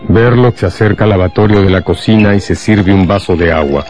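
A man with a deep voice narrates a radio drama. He tells the story in a measured, dramatic way, with faint guitar music running behind him.

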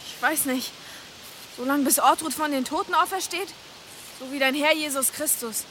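Young woman guide, radio drama, high-pitched, curt, scornful, resentful, noticeable ambient noise. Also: normal speed, a bit bright. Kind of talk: German radio drama acting.

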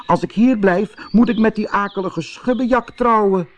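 A man voicing a sad, gentle young princess in a plaintive, pleading manner for a fairy tale. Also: high voice, normal speed, pitched above the performer's own voice, close to an everyday voice.

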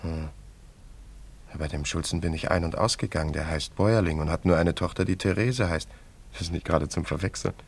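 A man's voice: low-pitched, brisk, creaky, breathy edge, exaggerated voice. An actor playing a carefree, teasing young wandering man in a German radio play, in an easygoing, bantering way. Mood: curious, friendly.

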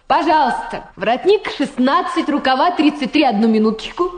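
An actress playing a prosecutor's young adult daughter in a Soviet radio drama, wry and teasing, gently reproachful. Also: female voice, high voice, expressive, brisk. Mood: playful, teasing.

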